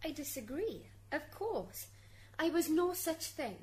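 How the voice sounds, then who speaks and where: A woman's voice: high voice, very animated, a little slow, a little mellow. A woman playing an indignant, defensive wife and mother on trial in a radio drama. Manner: aggrieved, defensive.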